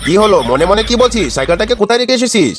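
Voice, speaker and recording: A man's voice: high-pitched, very animated, very fast, over loud music. A man voicing an irate middle-aged father in a comedy cartoon, scolding and blustering as he talks. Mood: angry scolding. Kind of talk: amateur comedy cartoon dialogue.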